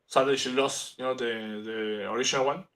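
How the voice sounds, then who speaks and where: A man with an Argentinian accent chatting as a guest artist, halting and restarting as he searches for English words. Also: medium pitch, fairly even intonation, fairly bright tone. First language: Spanish. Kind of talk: casual chat.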